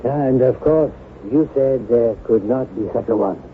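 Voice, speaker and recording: Male actor for devoted gentleman friend in radio drama: earnest, sympathetic, formal, medium pitch, tinny vintage sound. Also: unhurried, lightly acted. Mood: shocked. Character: loyal, sympathetic gentleman confidant, earnest and distressed. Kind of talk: old-time radio drama acting. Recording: noisy, narrow-band vintage radio broadcast.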